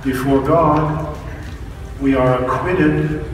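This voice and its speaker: A man preaches in a slow, deliberate, declarative way. His voice sits slightly high. It carries a long hall reverb heard from a distance. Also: fairly even intonation, over loud music. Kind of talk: sermon.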